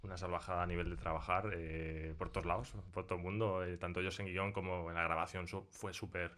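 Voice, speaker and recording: A man from the sound trade speaks in a low voice with a Spanish accent from Spain. He talks casually in a studio interview, explaining his craft like someone telling stories to colleagues. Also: flat delivery, fast, fairly bright tone. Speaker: sound designer of an audio drama being interviewed. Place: recording studio.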